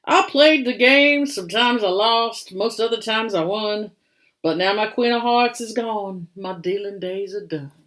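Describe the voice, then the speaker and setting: A woman voicing a shaggy male bison, a rueful card sharp speaking in storybook rhyme. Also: mid-range voice, unhurried, close to an everyday voice.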